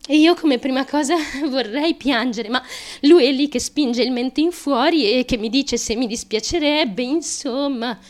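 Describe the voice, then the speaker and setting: An actress playing a troubled young woman in an intimate radio theatre monologue, confessional and crude, halting and nervous, with abrupt emotional swings. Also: high-pitched. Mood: nervously amused.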